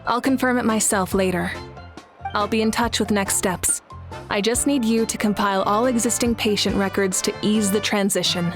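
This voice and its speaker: A woman voicing a composed, logical and compassionate female leader in a video game, speaking in a measured, matter-of-fact way. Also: mid-range voice, steady tone, lightly acted. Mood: businesslike. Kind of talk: scripted video game voice acting. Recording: music behind the voice.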